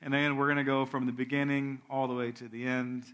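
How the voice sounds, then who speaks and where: Bright-voiced man preaching casually. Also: medium pitch, little pitch movement, a little fast, clear, resonant tone. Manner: conversational, self-correcting, prayerful. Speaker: pastor. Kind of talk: sermon with announcements and prayer.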